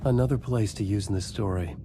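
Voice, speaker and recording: An actor playing a brooding, desperate male crime writer, narrating his own nightmare in deliberate first-person noir style in a game. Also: lowish voice, noisy background, natural voice.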